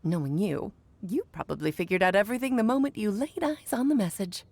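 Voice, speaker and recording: A woman voicing a playful, wise, centuries-old mage in a video game, telling her tales theatrically with emphatic pauses. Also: medium pitch, a little mellow, slight background noise, lightly acted. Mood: mysterious, teasing.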